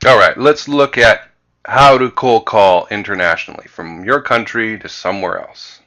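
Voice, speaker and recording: Bright-voiced Canadian man coaching, forceful delivery. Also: on the low side, moderate pace. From Vancouver, Canada. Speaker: sales coach. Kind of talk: instructional slide presentation.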